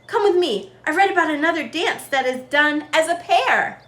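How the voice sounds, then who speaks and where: A woman's voice: high-pitched, animated, normal speed, a bit bright, reverberant, voice pushed up. A woman reading the part of an eager, earnest little girl in a storybook, stretching her words. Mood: excited.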